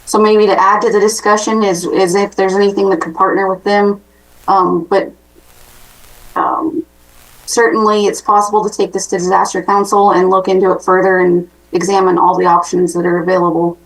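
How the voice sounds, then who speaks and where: A woman's voice: medium pitch, flat delivery, normal speed, distant mic, low-quality recording. A woman staff member giving informal, tentative remarks at a public county meeting, in a conversational way.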